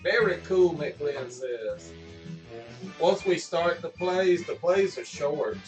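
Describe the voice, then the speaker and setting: A man's voice: on the high side, expressive, slow. A man chatting casually and jokily as he hosts a live stream before the show starts. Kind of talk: casual pre-show chat. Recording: roomy, distant mic, music behind.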